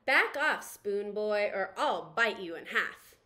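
A woman's voice: mid-range voice, lively intonation, takes it slowly, bright, forceful tone, voice pushed down. A woman voicing a menacing clothespin toy shark from a storybook, terse and threatening.

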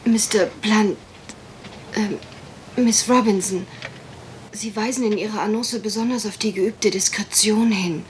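A woman's voice: mid-range voice, fairly even intonation, a little slow, pauses often, slight background noise, lightly acted. A woman acting a genteel, worried young country heiress in a German radio mystery play, polite, well-spoken and a little hesitant. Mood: hesitant, discreet.

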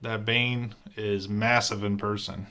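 A man's voice: fairly low pitch, little pitch movement, slow pace, sharp tone, clear, resonant tone. A man giving a casual voice-over tour of his statue collection room, plain and matter-of-fact with frequent filler words.

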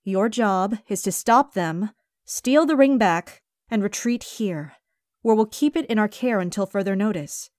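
A woman voices a no-nonsense boss of a secret agency for a cartoon. Her pitch sits in the middle and the sound is dry. She hands out firm orders, exasperated and strict. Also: lively intonation, a little slow, a little mellow, no echo, natural voice. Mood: businesslike. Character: stern, no-nonsense female spy agency boss. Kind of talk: animated web series voice acting.

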